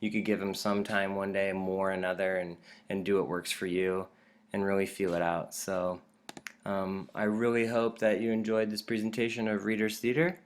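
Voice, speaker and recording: American guy w a low voice, teacher doing a laid out informal presentation, encouraging. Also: flat delivery, moderate pace, slight background noise.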